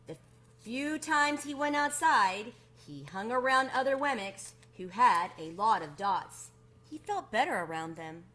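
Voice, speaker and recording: A woman reading a children's picture book aloud as a storyteller, expressive and deliberate with drawn-out emphasis. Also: fairly high pitch, faint music behind.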